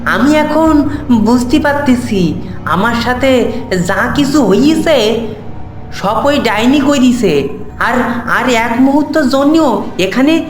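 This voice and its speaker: A frightened, bewildered labourer, played by a man in an animated ghost tale, speaking rural Bengali dialect. The delivery is high, slow and halting, echoing over faint music. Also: full character voice. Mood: fearful. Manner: halting, stammering, fearful. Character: bewildered frightened village labourer with rustic speech. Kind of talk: animated ghost story acting. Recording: echoey, with faint music behind.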